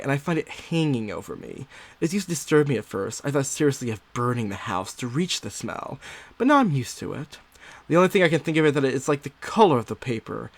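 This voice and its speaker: A man playing an unsettled, secretive nineteenth-century woman diarist growing obsessed, in a theatrical, confiding dramatic monologue with drawn-out emphasis. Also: medium pitch, a bit bright, no echo. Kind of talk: dramatic first-person monologue, audio theatre.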